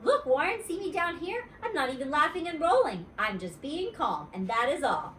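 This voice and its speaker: A woman voicing an excitable, chatty little schoolgirl storybook character, insistent and gushing, with childish grammar. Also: high-pitched, normal speed, pitched above the performer's own voice. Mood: eager, pestering. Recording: roomy, distant mic, faint noise.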